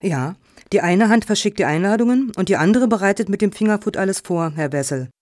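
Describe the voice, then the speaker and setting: Actress as composed, needling hostess, dry sound. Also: female voice, mid-range voice, animated, brisk, darkish tone, no room sound, close to an everyday voice. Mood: polite, needling. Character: polite, needling female foundation hostess, composed. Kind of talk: satirical radio play dialogue.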